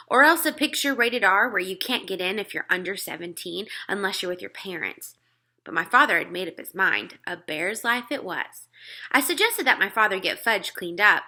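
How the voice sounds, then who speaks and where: A woman narrating a children's book aloud in an expressive style. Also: mid-range voice. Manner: acts out dialogue.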